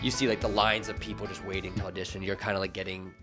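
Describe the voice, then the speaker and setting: Low-voiced man interviewing casually over music. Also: flat delivery, fast. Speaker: interviewer, host.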